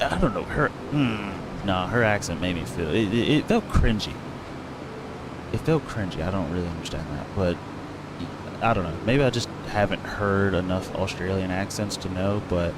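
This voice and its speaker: A man's voice: deep voice, background noise. A young man chatting casually while streaming a farming game, rambling with lots of fillers and self-corrections. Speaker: gaming streamer.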